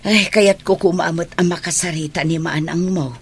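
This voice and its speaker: A woman with an Ilocano accent playing an aging, caring mother in a radio drama, her voice husky and breathy. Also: on the low side, fairly even intonation, moderate pace, a little hiss or hum, close to an everyday voice. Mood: tender. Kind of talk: Filipino radio drama acting.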